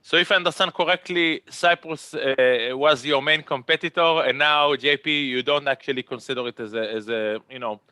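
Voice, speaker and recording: A man's voice: on the high side, normal speed, few pauses, pushed and bright, no echo. A man with an Israeli accent moderating a virtual conference panel, chatty and rambling, often repeating words.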